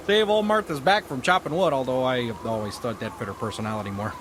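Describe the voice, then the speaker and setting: A man's voice: medium pitch, fairly quick, lightly acted. An actor playing a chatty male police officer at a night traffic stop. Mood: folksy, joking. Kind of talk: acted audio drama dialogue. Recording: noisy outdoor roadside sound.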